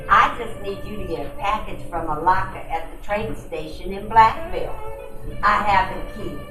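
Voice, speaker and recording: A woman's voice: mid-range voice, lively intonation, moderate pace, natural voice. A woman playing a sly femme fatale, echoey room. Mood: coy, coaxing. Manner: flirtatious, coaxing, sly. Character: coy, seductive femme fatale client with secrets. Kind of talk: old-time radio detective drama acting. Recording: roomy, distant, music behind.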